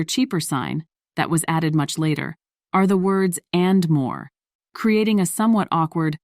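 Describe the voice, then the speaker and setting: A woman's voice: low-pitched, no echo, studio quality. A woman reading scripted story narration as the narrator of a piece of fiction.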